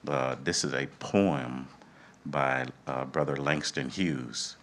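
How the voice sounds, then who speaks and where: A middle-aged man emceeing a live event, speaking in measured, deliberate phrases. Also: rumbling low, a bit bright. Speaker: event host. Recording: live event with faint background noise.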